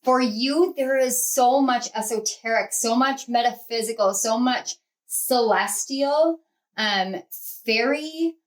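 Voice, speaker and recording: A woman's voice: fairly high pitch, slow. A woman hosting a pick-a-card tarot reading, talking to her viewers in a rambling, conversational way with many fillers and restarts. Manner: earnest, speaks to viewers as a group. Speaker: tarot reader and channel host.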